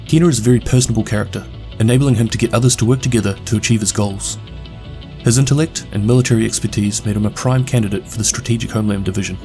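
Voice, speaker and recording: Fast-talking New Zealand man narrating. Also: fairly low pitch, faint music behind. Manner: brisk, steady recap delivery. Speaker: video game lore narrator. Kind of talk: scripted narration.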